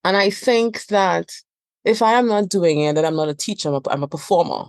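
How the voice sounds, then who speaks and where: Earnest Trinidadian woman, low voice. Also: animated, fairly quick, dry recording, clean studio sound. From Trinidad and Tobago. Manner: earnest, emphatic, repeats phrases for stress. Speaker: couples therapist and trainer. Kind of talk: reflective podcast interview talk.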